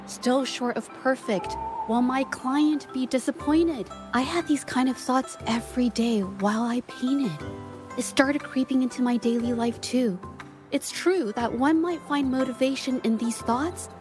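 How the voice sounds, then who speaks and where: A woman's voice: sits high, measured pace, music in the background. A woman voicing a shy, earnest, self-doubting young woman painter in a video game, hesitant and apologetic. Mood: wistful, sincere. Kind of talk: dramatic game voice acting.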